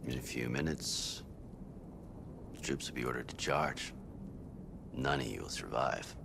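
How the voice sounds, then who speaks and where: Casting: menacing government negotiator for a cinematic game, extremely low gravelly voice, coldly persuasive, rumbling noise behind. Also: male voice, measured pace, exaggerated voice. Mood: cold, threatening. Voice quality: gravelly, very deep. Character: hard, menacing male government agent negotiating surrender. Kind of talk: cinematic video game acting.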